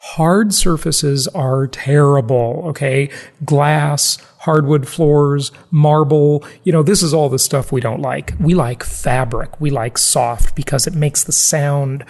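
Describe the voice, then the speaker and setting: Higher-pitched, creaky-voiced American man hosting. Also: steady tone, slow, a little mellow. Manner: chatty, conversational, informal asides. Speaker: podcast host. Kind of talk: casual solo podcast talk.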